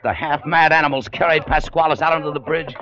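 A man's voice: fairly high pitch, fairly even intonation, fast, close to an everyday voice. An actor playing a hard-bitten, distrustful American adventurer, telling his story tersely and dramatically in a 1940s radio drama. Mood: urgent. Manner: terse, world-weary, dramatic. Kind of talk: 1940s radio acting, first-person narration. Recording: dull old radio recording.

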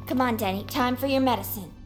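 An actress playing a bossy, deadpan young survivor in an audio drama, matter-of-fact in manner. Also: female voice, on the high side, fast, soft background music, lightly acted. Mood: straining.

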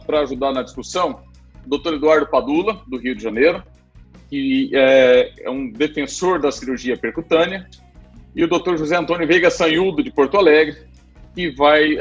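Husky São Paulo man moderating. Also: a little high, muffled recording. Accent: São Paulo Brazilian Portuguese. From São Paulo, Brazil. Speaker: moderator, foot and ankle surgeon. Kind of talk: introducing and questioning guests in a medical discussion.

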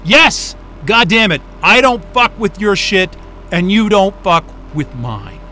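A man's voice: high-pitched, expressive, slow pace, sharp tone, soft background music, full character voice. An actor playing an exasperated, foul-mouthed male astrophysicist in an audio drama, irritable and sarcastic. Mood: rattled, irritated.

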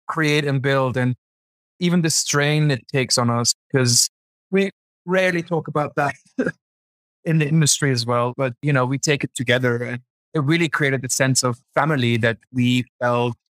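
A man from Germany, a guest designer, answers interview questions in a relaxed, loose way with many filler words. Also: medium pitch, no echo, rough recording.

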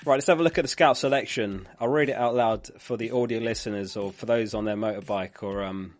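A man's voice: fairly low pitch, a little fast, faint music behind. A man who is losing his voice, hosting a live fantasy football stream in a chatty way, reading out viewer comments and laughing along.

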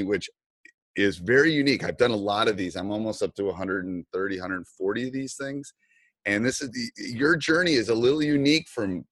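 Middle-aged guy podcast host, really low voice, dry close sound, chatty and rambles a bit. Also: fairly bright tone, dry recording. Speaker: podcast host and basketball coach. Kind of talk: casual podcast interview chat.

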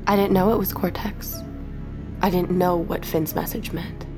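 A woman's voice: lowish voice, steady tone, moderate pace, music in the background, natural voice. An actress playing a defiant, guilt-ridden captive young woman in an audio drama. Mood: cold defiance.

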